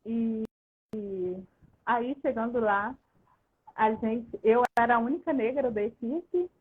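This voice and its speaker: A woman's voice: a little high, slow pace, husky. A Brazilian woman, a guest on a video call, telling her story at length with many restarts. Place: abroad, far from the host. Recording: tinny phone-like line.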